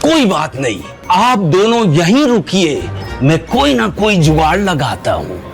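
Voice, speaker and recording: Cheeky court hustler, high voice, slow showy delivery, rhyming couplets, audio drama, music underneath. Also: male voice, wildly swooping pitch, gravelly, exaggerated voice. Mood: wheeling-dealing. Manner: theatrical, speaks in rhyming couplets. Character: flamboyant rhyming court fixer, cheeky smooth-talking hustler. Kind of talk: Hindi audio drama acting, sing-song verse.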